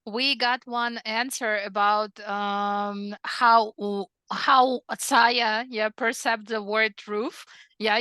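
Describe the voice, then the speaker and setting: Bright, crisp-voiced woman moderating. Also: a little high, no echo. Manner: chatty, peppered with "yeah". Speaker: webinar moderator. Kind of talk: online webinar Q&A. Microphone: video call.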